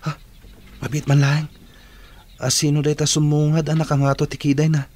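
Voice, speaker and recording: An actor playing a dutiful, respectful young working student in a radio drama, with an Ilocano accent. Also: male voice, fairly high pitch, monotone, moderate pace, darkish tone, slight background noise, lightly acted. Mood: curious, worried.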